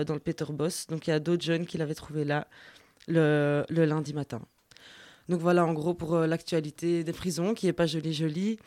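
A man hosting a talk show, pausing often with fillers as he hands over to guests. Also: fairly high pitch, a little mellow, no room sound. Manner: halting, frequent filler pauses. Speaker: radio show host.

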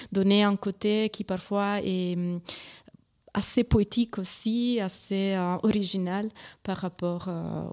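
Italian-accented woman, halting speech. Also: medium pitch, darkish tone. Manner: hesitant, many fillers and restarts. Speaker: guest from an arts organisation. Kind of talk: live radio interview.

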